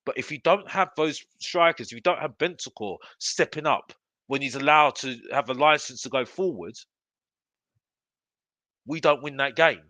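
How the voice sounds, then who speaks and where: Bright, emphatic man ranting. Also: fairly high pitch, moderate pace, no echo. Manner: emphatic, repetitive, exasperated. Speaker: host of a football fan show. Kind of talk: live opinionated fan rant to viewers.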